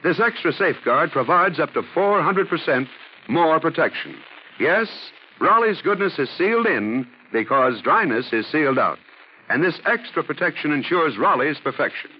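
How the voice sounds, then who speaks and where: A man's voice: fairly high pitch, expressive, normal speed. A man announcing a scripted 1940s radio commercial with a polished, emphatic sales delivery. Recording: muffled, noisy 1940s radio recording.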